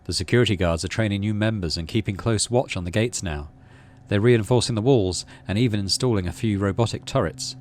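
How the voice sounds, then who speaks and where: A man's voice: lowish voice, brisk, hardly any pauses, darkish tone, slight background noise. A man reading out a story game as narration and adding casual commentary as he plays. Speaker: video game streamer.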